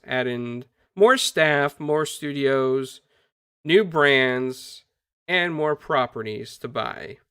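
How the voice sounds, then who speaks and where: A man's voice: mid-range voice, bright, forceful tone, clean tone, no room sound, clean studio sound. A man hosting a casual gaming podcast, upbeat and greeting viewers by name. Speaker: podcast host.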